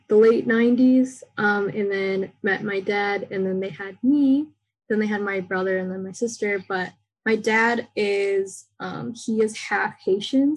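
A woman's voice: mid-range voice, rough recording. Young woman guest, mid-range voice, easygoing and rambly. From Michigan, United States. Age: young adult. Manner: relaxed, rambling, frequent "like" and "um". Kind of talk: casual interview talk.

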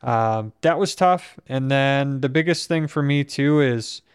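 A young man from Minnesota, hosting a casual conversation and speaking in a steady, reflective way, with a voice that is not very strong on breath. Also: mid-range voice, measured pace, clean tone, no room sound, clean studio sound. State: weak breath support. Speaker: podcast host.

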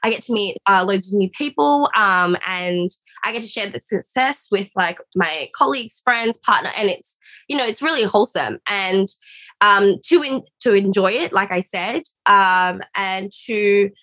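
A woman's voice: medium pitch, expressive, moderate pace, pushed and bright, poor audio. A young woman with an Australian accent, chatting as a podcast guest, rambling with fillers and restarts. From Singapore. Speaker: guest freelancer. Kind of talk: casual interview chat.

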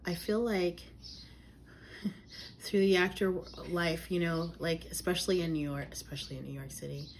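Laid-back woman, slightly low voice. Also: moderate pace, vocal fry, a little hiss or hum. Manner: relaxed, trailing, filler-heavy phrasing. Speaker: podcast co-host, actor. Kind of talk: casual chat.

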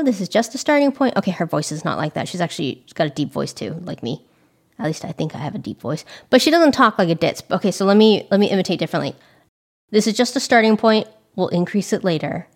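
A woman hosting a podcast, talking in a candid, chatty way and swearing now and then. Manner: animated, pitch jumps when exasperated. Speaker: podcast host. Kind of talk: casual monologue.

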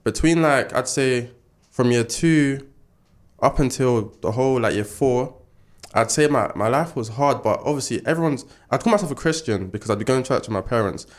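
A man's voice: mid-range voice, normal speed. A nineteen-year-old man with a London accent chatting casually as a podcast guest, using slang and lots of filler tags. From born in Ghana, grew up in South London. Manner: slangy, frequent filler tags such as like and innit. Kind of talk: casual chat, personal testimony.

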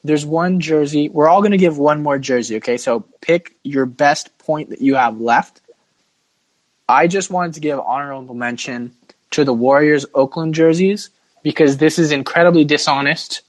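A man's voice: on the high side, normal speed, no echo, poor audio. A man hosting a casual sports podcast, giving opinions in a mock-dramatic, self-deprecating way. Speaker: podcast host.

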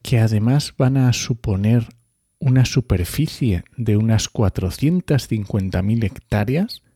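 A man's voice: fairly low pitch, expressive, measured pace, warm tone, breathy edge, no echo, studio quality. A man co-hosting a podcast in a casual style. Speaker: podcast co-host.

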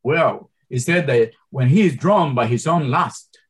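A man's voice: mid-range voice, a little slow. A man in his sixties giving an informal Bible teaching to a small group, speaking English as a second language with grammar slips and frequent stammered restarts. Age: 60s. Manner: impassioned, many stammered restarts.

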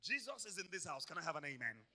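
A man preaching an energetic sermon in a church, swinging from quiet asides to shouted exclamations and acting out voices. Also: on the high side, fast, a crowd in the background. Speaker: pastor. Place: church hall.

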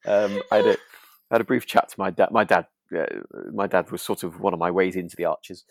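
A man's voice: deep voice, steady tone, brisk, no echo. A man co-hosting a podcast in casual chat, enthusiastic and often repeating words as he finds his phrasing. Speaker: podcast co-host.

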